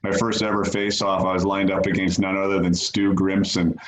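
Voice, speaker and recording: A male podcast host interviewing a guest in a casual, halting way, with many fillers and restarts. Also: low voice, monotone, echoey room, rough recording.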